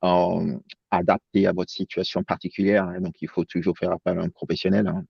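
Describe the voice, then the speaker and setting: Low gravelly man, halting webinar talk. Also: level tone, no room sound. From France. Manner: hesitant, many fillers and restarts. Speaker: accountant guest. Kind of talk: webinar presentation. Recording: dull lo-fi sound.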